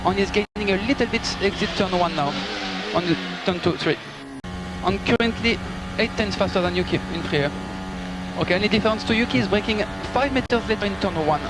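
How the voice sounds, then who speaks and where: A man's voice: high voice, fairly quick. A man working as a race engineer, giving polite, clipped technical instructions and gap updates over team radio. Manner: polite, instructive, reporting numbers and gaps. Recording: noisy team radio with engine and vehicle noise. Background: loud car and engine noise.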